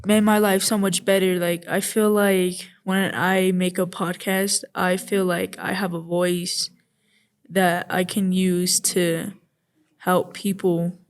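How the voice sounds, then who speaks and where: A young woman co-hosting a youth podcast, chatting casually and a little hesitantly, often saying "like". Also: lowish voice. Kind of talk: casual personal chat.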